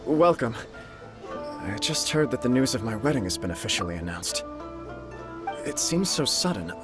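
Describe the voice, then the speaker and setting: A man's voice: medium pitch, very animated, normal speed, over music, close to an everyday voice. An actor playing an earnest, courteous young nobleman in an anime game, speaking politely and sincerely. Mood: polite, uneasy.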